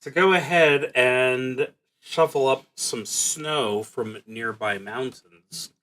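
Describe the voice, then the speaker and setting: Slow-paced, musing man. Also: medium pitch, fairly bright tone, rough recording. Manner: halting, musing, trails off mid-thought. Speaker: streamer playing a solo tabletop roleplaying game. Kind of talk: unscripted solo game play narration, thinking aloud.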